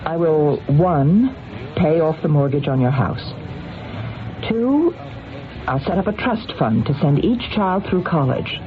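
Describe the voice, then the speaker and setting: A woman's voice: low voice, expressive, a little slow, soft background music. An actress playing a cold, calculating, domineering woman employer in an old radio drama, commanding and coolly persuasive. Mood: coolly bargaining. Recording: tinny narrow-band old radio recording.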